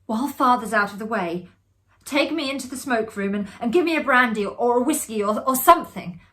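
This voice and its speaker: A woman voicing a shaken, sheepish young man in a ghost story, halting and repeating words. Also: a little high, expressive, sharp tone, voice pushed up. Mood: urgent, pleading. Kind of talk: performed reading of a ghost story.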